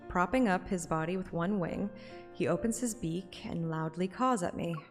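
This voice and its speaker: Woman, game streamer, audiobook-style narration, casual fan chat, soft music behind. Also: lowish voice, normal speed. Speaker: streamer reading a game story aloud. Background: game soundtrack music.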